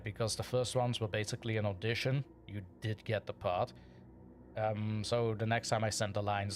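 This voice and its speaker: A man's voice: lowish voice, a little hiss or hum. A man hosting a livestream, chatting casually and playfully with his audience and reading their comments aloud, often chuckling. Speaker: livestream host reading chat.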